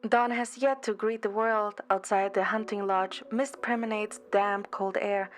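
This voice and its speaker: A woman's voice: mid-range voice, steady tone, unhurried. A woman reading scripted first-person story narration as an amateur narrator. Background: background music.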